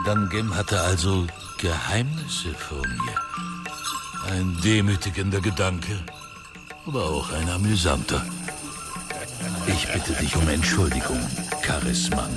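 A man playing a mighty, haughty demon with a slow, sardonic, menacing delivery in a fantasy radio drama. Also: deep voice, expressive, over loud music. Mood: sardonic amusement. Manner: slow, sardonic, relishing his words.

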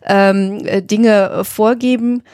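A woman's voice: mid-range voice, steady tone, slow pace, darkish tone, no room sound, studio quality. A woman co-hosting a podcast, explaining things conversationally and hesitantly, thinking aloud in long winding sentences. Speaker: podcast co-host.

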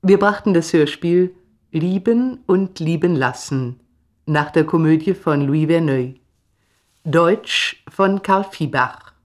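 Slow, formal radio announcer. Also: mid-range voice. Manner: formal, deliberate. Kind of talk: formal scripted credits reading.

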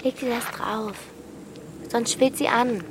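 A woman's voice: on the high side, fairly even intonation, takes it slowly, slight background noise. A woman playing a stubborn, practical thirteen-year-old girl in an audio drama, caring but curt. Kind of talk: German audio drama acting.

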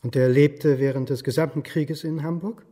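Historian, forties, radio drama, male actor: slightly high but soft-dark, understated, probing, long reverb. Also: fairly even intonation, normal speed, close to an everyday voice. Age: 40s. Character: historian in his forties, dry, quietly probing. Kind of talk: German radio drama acting. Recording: reverberant room.

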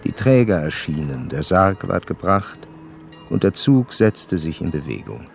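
An actor playing an educated, reflective gentleman narrator in a literary radio drama, speaking in a measured, formal way. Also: deep voice, very animated, gravelly, faint music behind, exaggerated voice. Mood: solemn. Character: curious educated gentleman recounting a sad memory.

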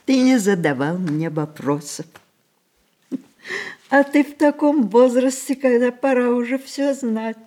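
An actress playing a dying old matriarch in a radio drama, imperious yet tender, with theatrical, halting phrases. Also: female voice, on the high side, lively intonation, warm tone. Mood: wistful confession. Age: older. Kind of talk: Russian stage-style radio drama acting.

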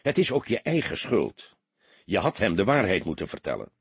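A man's voice: sits low, huge pitch swings, normal speed, creaky, breathy edge, no room sound, heavily acted. A man voicing an authoritative senior police detective in an audiobook, stern yet kindly. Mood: kindly reproach. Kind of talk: audiobook character acting.

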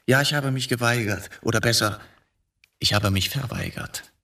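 A man's voice: medium pitch, normal speed, pushed and bright. Brooding, bitter middle-aged man. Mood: reflective. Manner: evasive, philosophizing, bitter. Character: brooding middle-aged journalist confessing to killing his wife. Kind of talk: radio drama acting.

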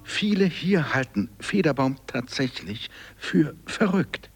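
An actor playing a composed, matter-of-fact congress reporter, giving formal commentary in a radio drama. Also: male voice, a little high, expressive, slow, soft background music.

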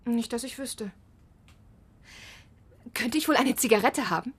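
Young actress, fairly high, husky voice, gushing radio play chatter. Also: female voice, lively intonation, measured pace. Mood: chatty. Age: young adult. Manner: chatty, gushing, a little nervous. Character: young eager actress, understudy. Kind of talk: German radio drama acting.

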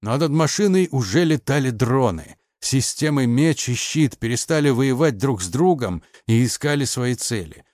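A male audiobook narrator with a mid-pitched voice. He delivers scripted, dramatic prose as a storyteller. The sound is dry, with no room echo. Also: lively intonation, normal speed, few pauses, darkish tone.

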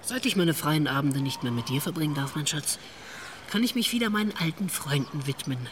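A woman's voice: low voice, expressive, brisk, background noise. A worldly female investigator in a radio drama. She speaks in a wry, knowing storyteller's manner, naturally paced, over faint background ambience. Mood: teasing, confiding.

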